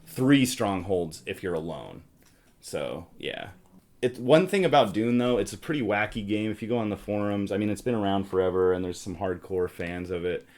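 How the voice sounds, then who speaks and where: A man with a fairly low voice hosts a relaxed board game discussion. He is chatty and explanatory, often turning to the others with questions. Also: moderate pace. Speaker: podcast host.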